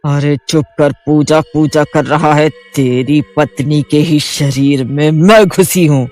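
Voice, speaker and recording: A woman voicing a cruel female witch spirit in a cartoon, speaking low and gloating. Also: fairly even intonation, takes it slowly, darkish tone, faint music behind. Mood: menacing. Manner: low gloating voice with shrieking laughs. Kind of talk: cartoon voice acting.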